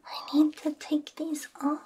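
A young woman performing a gentle, soothing ASMR roleplay in a hushed whisper with soft hums, close on a binaural microphone. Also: sits high, completely flat delivery, a little slow, a little hiss or hum.

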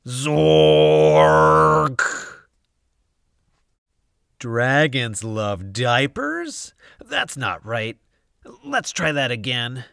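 A man reading a children's picture book aloud, expressive and theatrical, with dramatic drawn-out emphasis. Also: mid-range voice, no room sound, clean studio sound. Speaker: storybook reader.

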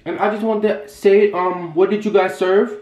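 A young man, vlogging in animated casual chat with a theatrical, excitable manner. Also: high voice, normal speed. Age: young adult. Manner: theatrical, excitable, bursts into shouting. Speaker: vlogger making prank calls. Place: small bare bedroom. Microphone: camera a little way off. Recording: roomy echo, music and chatter behind.

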